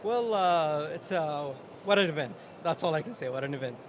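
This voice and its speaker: A man from Saudi Arabia talks casually as a company representative in an interview. His voice sits slightly high, with loud exhibition hall noise around him. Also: expressive, moderate pace. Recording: loud trade show floor noise.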